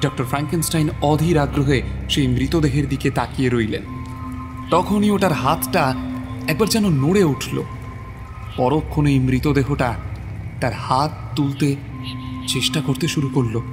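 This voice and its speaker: A man's voice: medium pitch, measured pace, long pauses, music in the background. A man narrating a horror story in a theatrical, suspenseful style.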